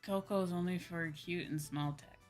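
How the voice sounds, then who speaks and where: A woman's voice: fairly low pitch, fairly even intonation, moderate pace, a little mellow, faint music behind. A slightly sleepy woman hosting a livestream, chatting casually and informally with her viewers. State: a little sleepy. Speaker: livestream host, VTuber.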